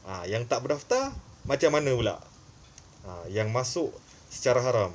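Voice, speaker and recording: A man's voice: medium pitch, expressive, moderate pace, a little hiss or hum. A man with a Malaysian accent giving informal, opinionated commentary in an emphatic, repetitive way, with frequent short filler tags. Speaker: online commentary channel host.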